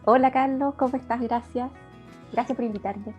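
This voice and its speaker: A woman's voice: fairly high pitch, fairly even intonation, normal speed. A middle-aged woman from Chile with a Chilean accent, answering conversationally as an interview guest. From Valparaíso, Chile. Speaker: interview guest, psychology lecturer. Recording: dull lo-fi audio, music behind.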